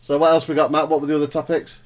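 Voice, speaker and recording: A middle-aged man with a loud, booming voice, hosting a casual family podcast and steering the talk along. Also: flat delivery, rapid-fire. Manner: laid-back, repeats "right" to steer the talk.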